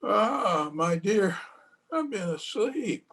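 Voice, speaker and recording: An actor playing a feeble, forgetful old husband in a radio play, halting and repeating his words, tender and querulous. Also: sits high, animated, fairly bright tone, exaggerated voice. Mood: confused, fretful. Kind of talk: old-time radio drama acting. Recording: home video call with music.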